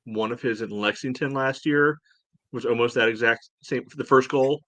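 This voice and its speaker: A man chatting casually as a sports podcast host, breaking down play in a halting, rambling way full of verbal padding. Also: medium pitch, steady tone, a bit bright, no room sound. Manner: halting, rambling delivery peppered with "you know". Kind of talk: casual sports analysis chat.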